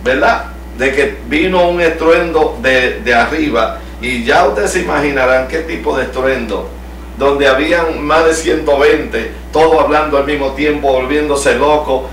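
Salvadoran pastor questioning a small group. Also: male voice, on the high side, measured pace, a bit bright. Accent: Salvadoran Spanish. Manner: teaching, asks the group questions. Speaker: pastor leading Bible study. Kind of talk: home Bible study discussion. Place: living room, small group seated. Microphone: far camera. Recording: echoey room from far off, faint hum.

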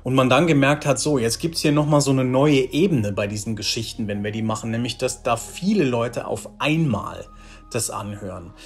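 A man's voice: mid-range voice, moderate pace, husky, soft background music. A man in his forties talking casually and enthusiastically in an interview over a video call, often restarting his phrases. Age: 40s. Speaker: audio drama writer, interviewee. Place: small bright room with bookshelves, indoors.

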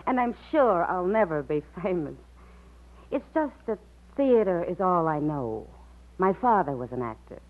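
An actress playing a self-possessed, candid and teasing stage actress no longer young, arch and theatrical, in an old-time radio drama. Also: female voice, lowish voice, lively intonation, measured pace. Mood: candid, wry. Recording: muffled vintage radio recording.

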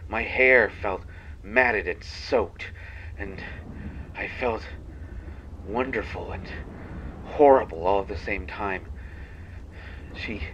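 A man voicing a kindhearted, haunted young banshee in a dramatic monologue, halting between phrases as if confessing. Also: lowish voice, muffled recording, a little hiss or hum. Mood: intense, shaken. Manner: halting, hushed confession with long pauses. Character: kindhearted young male banshee, half-fey, haunted and menacing.

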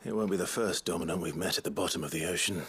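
A man playing a brooding, courteous warrior hero in a fantasy video game, gravelly and husky, terse and earnest. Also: low-pitched, racing, slight background noise, natural voice. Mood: dry, resolute. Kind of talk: video game cutscene acting. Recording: music playing behind the voice.

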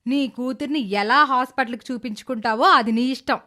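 A woman playing a cruel, scheming middle-aged stepmother in an animated moral story, scornful and sarcastic. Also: sits high, a little fast. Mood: angry, defiant.

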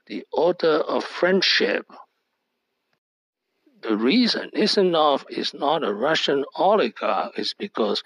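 Man, host narration, slow measured reading, slightly high voice, short fragments. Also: dry recording, low-quality recording. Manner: reads short fragments in a measured, deliberate cadence. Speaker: channel host. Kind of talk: slow scripted narration over slides.

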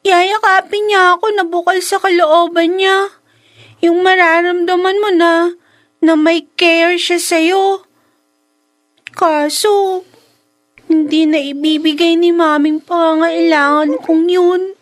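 Very high-pitched little girl. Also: steady tone, slow pace. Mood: wistful, earnest. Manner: childlike, plaintive. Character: sweet young girl, wistful daughter longing for affection. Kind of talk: Filipino radio drama acting.

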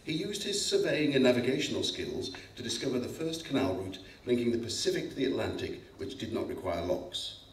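Male presenter, middle-aged, mid-pitched, reading prepared text in an echoey hall. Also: very animated, a little fast, hardly any pauses, slight background noise. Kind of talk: scripted biographical introduction read aloud. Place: school hall stage before an audience. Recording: echoey hall, distant microphone.

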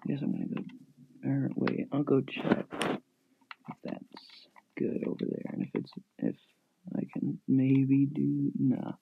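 A man's voice: medium pitch, steady tone, takes it slowly, vocal fry, breathy edge, dry recording. A man giving casual commentary on his own gameplay, thinking aloud in short fragments. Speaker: gamer narrating own gameplay. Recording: thin phone-like mic, noisy, clicking.

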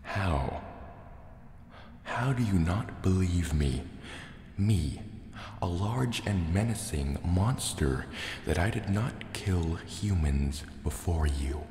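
A man voicing a large, menacing, distrustful sea serpent dragon in a slow, deliberate, soft-spoken ASMR roleplay. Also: deep voice, expressive. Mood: haughty, threatening. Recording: echoey cave reverb, faint noise. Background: water and cave ambience.

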